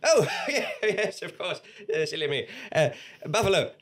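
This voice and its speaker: A man's voice: a little high, very animated, normal speed. A man playing a cruel, greedy feudal lord in a live comedy drama, stammering through hesitant, apologetic bluster. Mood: flustered, petty. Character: cruel, greedy, shifty old feudal lord, flustered and petty. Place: small theatre stage before an audience. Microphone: stand microphone.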